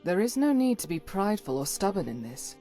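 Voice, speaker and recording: Zealous woman cleric for fantasy game, acted, low for a woman, formal, unyielding, faint music. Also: big pitch swings, a little fast, warm tone, natural voice. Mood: resolute. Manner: solemn, formal, righteous. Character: zealous, stern female cleric, devout and unyielding. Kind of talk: video game voice acting.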